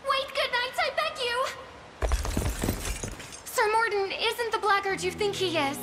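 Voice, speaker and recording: A woman's voice: very high-pitched, lively intonation, measured pace. A woman playing a loyal, deferential young townswoman in a fantasy game, polite and earnest. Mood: pleading. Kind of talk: video game fantasy acting.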